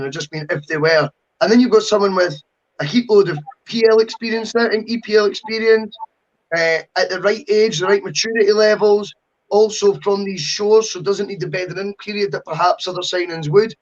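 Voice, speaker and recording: A man's voice: high voice, brisk. A man hosting a casual football podcast, talkative and opinionated with a joking streak. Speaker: podcast host. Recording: dull lo-fi audio.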